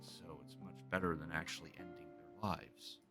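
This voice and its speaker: Deep-voiced man commentating on a game. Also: flat delivery, normal speed, music in the background. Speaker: game streamer.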